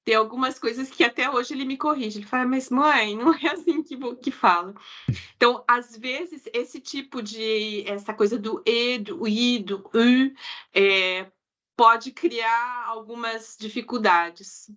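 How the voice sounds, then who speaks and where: A woman's voice: fairly high pitch, unhurried, a bit bright. A Brazilian woman in her forties with a Brazilian Portuguese accent, chatting as an interview guest and often restarting her sentences mid-thought. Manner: hesitant, frequent restarts and self-corrections. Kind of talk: casual interview chat. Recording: dull lo-fi remote audio.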